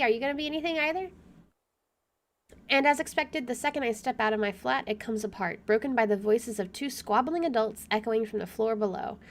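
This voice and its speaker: Young female streamer, high voice, chatty and expressive, moves between casual talk and read-aloud narration. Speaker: game streamer. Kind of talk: casual chat and reading visual novel text aloud.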